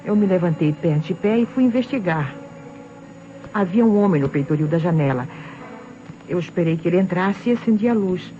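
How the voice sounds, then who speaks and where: A woman's voice: fairly low pitch, lively intonation, normal speed, warm tone, a little hiss or hum, natural voice. An actress playing an anxious, insistent married woman giving testimony to police, with a Brazilian accent and earnest old radio-drama delivery. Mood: earnest, nervous. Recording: dull vintage radio recording, faint music.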